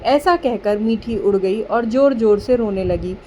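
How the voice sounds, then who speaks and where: A woman's voice: fairly high pitch, measured pace, dark tone, a little hiss or hum. A woman giving scripted narration of a children's story.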